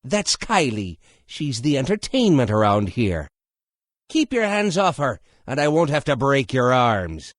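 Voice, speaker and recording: Animated older barkeep. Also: male voice, mid-range voice, unhurried, no room sound, clean studio sound. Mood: gruff. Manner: blunt, no-nonsense. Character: gruff older male tavern keeper, protective and stern. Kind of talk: video game character acting.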